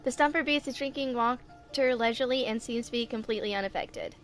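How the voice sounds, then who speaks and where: A woman in her twenties with a fairly high voice narrates her own game playthrough. She reads the text aloud casually and talks to herself in an unhurried way. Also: music in the background. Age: 20s. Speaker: gaming video creator.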